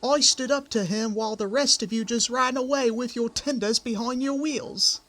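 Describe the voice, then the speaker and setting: A man as a high-voiced cocky little steam engine. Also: normal speed, a bit bright. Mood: smug, defiant. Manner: boastful, indignant. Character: small, cocky, grumpy male miniature steam engine. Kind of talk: amateur cartoon comic dub.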